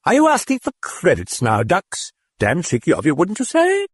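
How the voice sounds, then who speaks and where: A sly, sneering demon, put on by a man purrs in a raised, sing-song register, dripping with false politeness. Each taunt swoops up and down with mockery. The voice is husky and close, with no room around it. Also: on the high side, big pitch swings, moderate pace, no echo, pitched above the performer's own voice, exaggerated voice. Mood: mock cheerful. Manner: mocking, sardonic and falsely cordial. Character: sardonic mocking demon possessing a girl.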